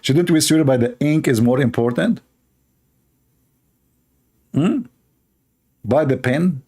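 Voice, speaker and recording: A man's voice: fairly high pitch, slow pace, warm tone. A man whose first language is Arabic, giving sarcastic, mocking commentary and laughing often. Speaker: online debater.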